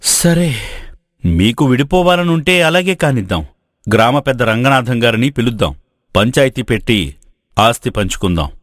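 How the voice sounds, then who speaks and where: A man's voice: mid-range voice, lively intonation, moderate pace, pauses often, no echo, clean studio sound, lightly acted. A man playing a dutiful, earnest elder brother in a family moral story. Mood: resigned.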